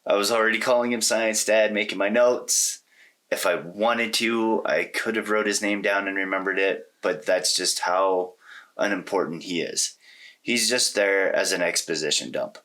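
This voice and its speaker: A man's voice: deep voice, a little slow, pushed and bright. A man co-hosting a casual discussion about films, talking in an informal, slangy way. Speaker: podcast co-host. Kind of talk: casual conversational movie discussion.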